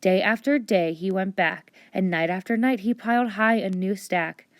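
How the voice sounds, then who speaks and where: A woman reading a storybook aloud with expressive children's narration. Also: medium pitch, normal speed, no echo, clean studio sound. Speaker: storybook reader.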